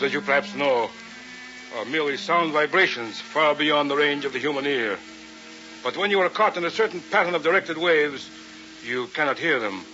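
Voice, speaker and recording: A man's voice: on the high side, bright, forceful tone. A man acting as an arrogant, coldly rational scientist and domineering husband in a vintage radio drama, with a pompous, lecturing manner. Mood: gloating. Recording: muffled, hissy vintage radio recording.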